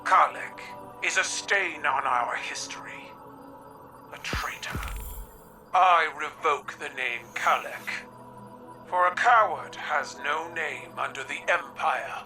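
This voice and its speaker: A stern imperial empress delivers slow, commanding proclamations to her warriors. The voice sits high and unhurried, set back from the mic against a loud game score. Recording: distant voice over loud music.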